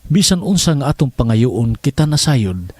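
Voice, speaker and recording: A man's voice: mid-range voice, big pitch swings, moderate pace, darkish tone, slightly rough, no room sound. A man giving a scripted devotional scripture reading over guitar music. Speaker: devotional reader.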